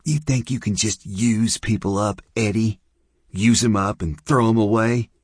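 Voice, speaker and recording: A man's voice: lowish voice, expressive, a little slow, dry recording, studio quality, voice pushed up. A man doing a drunk, bitter woman. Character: drunk, vengeful woman with a gun.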